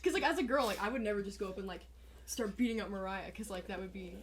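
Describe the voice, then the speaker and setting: A woman's voice: mid-range voice, fast, over a noisy crowd. An eighteen-year-old woman hosting a podcast in casual chat, chatty and giggly with lots of fillers. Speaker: podcast host.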